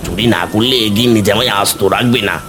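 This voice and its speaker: A man's voice: on the low side, fast, over music, lightly acted. A man voicing a lazy, henpecked, hard-up village husband with a whining, reluctant manner in a cartoon. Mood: dismayed. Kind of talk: cartoon fairy tale acting.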